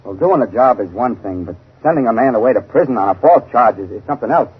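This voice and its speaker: A man's voice: mid-range voice, expressive, fast talker, breathy edge. An actor playing a timid, conscience-stricken family man, hesitant and earnest, in a 1930s radio mystery. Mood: nervous, resolute. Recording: dull 1930s radio recording.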